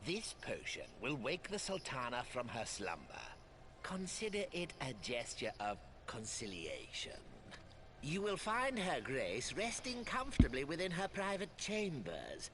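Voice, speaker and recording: An actor voicing a smug, scheming wealthy merchant lord in a video game, with pompous, mock-courteous, drawn-out delivery. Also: male voice, medium pitch, huge pitch swings, a bit bright, a little hiss or hum. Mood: measured, conciliatory. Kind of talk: video game cutscene acting. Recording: game audio with music.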